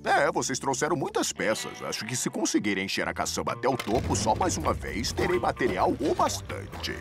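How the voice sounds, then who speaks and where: A man's voice: mid-range voice, wildly swooping pitch, brisk, loud music behind the voice. A Brazilian man voicing a jovial older motorbike in a children's cartoon, hearty and indulgent with youngsters. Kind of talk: animated children's cartoon dubbing.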